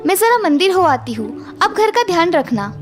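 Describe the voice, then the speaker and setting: A woman's voice: extremely high pitch, big pitch swings, normal speed, over music. A woman voicing a polite, trusting young housewife in a cartoon story. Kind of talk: Hindi cartoon story voice acting.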